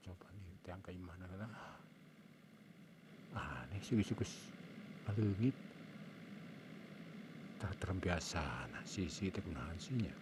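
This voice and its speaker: A man's voice: low-pitched, takes it slowly, pauses often, noisy background. A man with a Sundanese accent playing an arrogant, scheming young suitor in a comic cartoon, muttering and exclaiming to himself. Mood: brooding, scheming. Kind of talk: Sundanese comic animated storytelling, acted dialogue.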